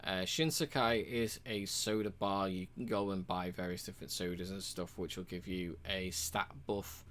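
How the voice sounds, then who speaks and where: A man's voice: low voice, moderate pace, a little hiss or hum. Laid-back man rambling through a game guide. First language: English. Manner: relaxed, rambling, frequent ums and uhs. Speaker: game reviewer. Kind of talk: casual achievement walkthrough.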